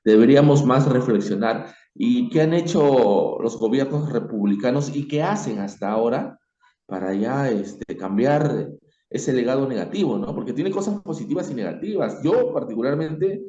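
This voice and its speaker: A man with a Peruvian Spanish accent giving his opinion informally, reflective and halting, leaning emphatically on key words. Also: mid-range voice, animated, warm tone. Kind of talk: informal spoken opinion on history. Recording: dull, echoey room recording.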